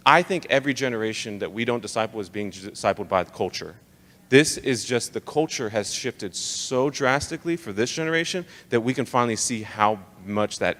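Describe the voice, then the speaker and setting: A man's voice: medium pitch, fairly bright tone. A young American man giving an informal, emphatic live talk to church leaders, conversational and slangy, often pressing rhetorical questions. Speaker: speaker leading a church leadership training session. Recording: live talk in a reverberant hall.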